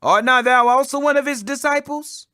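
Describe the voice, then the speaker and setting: A man doing shrill, accusing temple servants. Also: normal speed, sharp tone, dry recording, studio quality. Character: indignant accusing servants and officers of the high priest.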